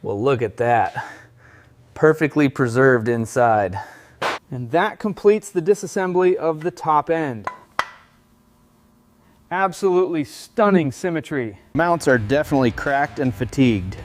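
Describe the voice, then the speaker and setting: A man's voice: mid-range voice, unhurried, long pauses. A man giving step-by-step workshop commentary as the narrator of a restoration. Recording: music behind the voice.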